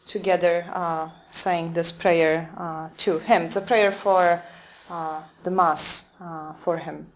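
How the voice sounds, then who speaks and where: Polish-accented woman, a nun speaking to a room, hesitant and searching for words, middle pitch, slightly dull recording. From Poland. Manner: hesitant, many fillers and restarts. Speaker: Dominican sister giving a talk. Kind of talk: lecture talk to a small audience. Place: convent room with a live audience.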